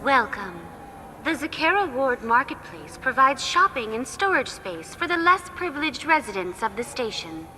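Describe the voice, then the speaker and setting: A woman playing a polite, impassive virtual tour guide program in a game, speaking in formal, measured, instructive phrases. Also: sits high, big pitch swings, bright, forceful tone, full character voice. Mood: courteous, impassive. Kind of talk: scripted informational guide narration. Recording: echoing hall, faint background noise.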